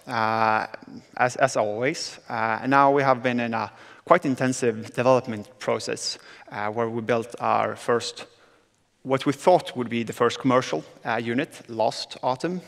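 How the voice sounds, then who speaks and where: Young swedish guy on a panel, gravelly voice, uhs everywhere, long hall echo. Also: medium pitch, measured pace, a bit bright. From southern Sweden. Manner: hesitant, many filler sounds. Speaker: entrepreneur, panel guest. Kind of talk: panel talk on stage. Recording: reverberant conference hall.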